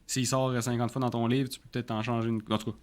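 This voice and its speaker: A man's voice: medium pitch, little pitch movement, quick pace, darkish tone, clean tone. Quebec French man, co-host, easygoing and rambly in chat. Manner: loose, rambling, colloquial. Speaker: podcast co-host. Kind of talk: casual chat about books.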